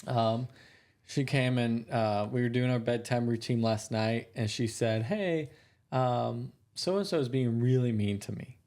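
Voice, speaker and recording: A man co-hosting a relaxed podcast. He talks in an easy, chatty way, tells stories and teases now and then. His voice sits at an ordinary middle pitch. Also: measured pace. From American South. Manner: teasing, storytelling. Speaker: podcast co-host. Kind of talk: casual chat.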